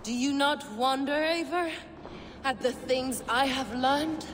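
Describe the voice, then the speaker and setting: An actress voicing a zealous female villain in a video game, speaking in a measured, cryptic, taunting way. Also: sits high, slight background noise. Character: cold zealous female villain, order leader. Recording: game audio with loud score.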